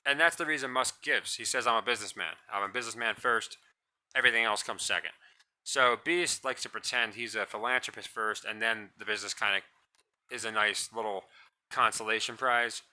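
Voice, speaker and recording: A man's voice: lowish voice, brisk. A man ranting in a blunt, scornful way in a solo commentary, rambling and restarting his sentences. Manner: describes own voice as monotonous. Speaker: commentary channel host.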